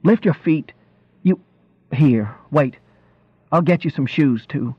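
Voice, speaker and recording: A man's voice: a little high, animated, slow, slightly rough, voice pushed up, exaggerated voice. A man voicing a small, angry, worried country wife who scolds in an exasperated rustic dialect. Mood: angry scolding. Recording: roomy, dull lo-fi reading.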